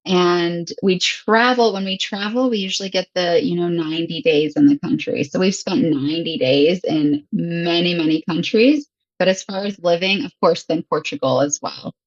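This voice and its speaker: A woman's voice: fairly low pitch, expressive, normal speed, few pauses, no echo, dull audio. An American woman from Michigan in her thirties, chatting casually and laughing often as an interview guest. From Michigan, USA. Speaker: guest, English teacher. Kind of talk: casual conversation.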